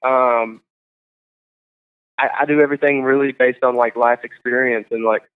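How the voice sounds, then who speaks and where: A young man, a singer-songwriter guest, chatting casually in an interview, halting and full of fillers. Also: medium pitch, fairly even intonation, clean tone. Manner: halting, full of likes and ums. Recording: tinny phone-like sound.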